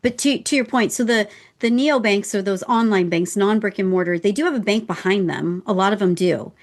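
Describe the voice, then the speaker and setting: Mid-pitched woman explaining banking. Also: fairly quick. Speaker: podcast co-host, accountant. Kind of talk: casual podcast chat.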